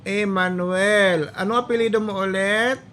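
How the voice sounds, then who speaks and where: A woman's voice: medium pitch, steady tone, a little slow, fairly bright tone, a little hiss or hum. A woman with a Filipino accent chatting playfully with a small child, asking coaxing questions and repeating the answers back. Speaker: parent vlogger making a prank call. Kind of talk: casual playful phone chat with a small child.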